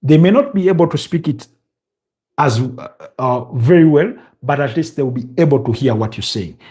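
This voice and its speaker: A Ugandan man runs a relaxed football news stream and answers viewer comments between scores. His voice is dark and mellow. He talks loosely, fills gaps with ums and uhs, and stops to recall names. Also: mid-range voice. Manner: loose and unscripted, many fillers, pauses to recall names. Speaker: host of a football news livestream. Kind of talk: casual live commentary reading viewer comments.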